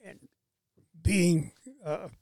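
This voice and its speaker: A man in his seventies, a retired father speaking as a podcast guest, slow and plain-spoken in casual conversation. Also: high-pitched, steady tone, creaky, darkish tone, no room sound, clean studio sound. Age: 70s.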